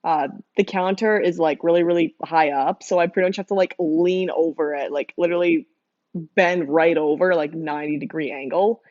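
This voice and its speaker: A young woman with a hoarse, worn-out voice chatting casually with her stream viewers, laughing often and rambling with lots of fillers. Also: deep voice, steady tone, normal speed, darkish tone, dry recording. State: hoarse, losing voice from screaming. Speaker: livestreamer drawing art. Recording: dull lo-fi dry mic sound.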